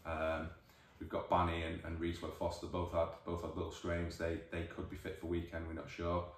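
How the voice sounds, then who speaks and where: Very deep-voiced manager in echoey room. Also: male voice, monotone, recorded from a distance. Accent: Northern English. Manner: measured, thoughtful, many restarts. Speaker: football manager. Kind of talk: post-match interview. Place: empty changing room. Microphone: camera at a distance.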